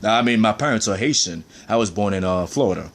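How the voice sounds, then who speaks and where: A man voicing a clueless American-born man who shrugs off his heritage, in a flat, dismissive, mumbled way. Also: fairly low pitch, a little fast, creaky, pitched below the performer's own voice.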